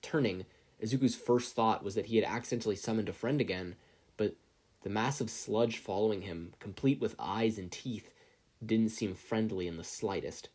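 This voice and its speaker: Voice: mid-range voice, normal speed, darkish tone, breathy edge. A narrator reading scripted fiction aloud as an audiobook-style story. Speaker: podfic narrator.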